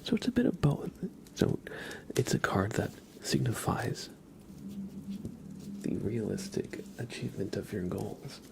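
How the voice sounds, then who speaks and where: A man hosting an ASMR livestream in a hushed, breathy whisper, chatting casually with frequent pauses, close to the microphone. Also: lowish voice, big pitch swings, background noise. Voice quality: breathy whisper with creaky voiced patches. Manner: unhurried, hushed, pausing often. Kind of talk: whispered ASMR, casual tarot card reading and chat with viewers.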